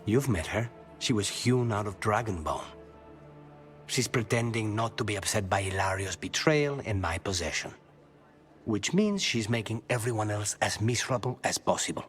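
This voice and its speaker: An actor playing a brooding, dry-witted assassin haunted by a demon in a video game, speaking in measured, wry, clipped phrases. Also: male voice, fairly low pitch, expressive, fairly bright tone, soft background music.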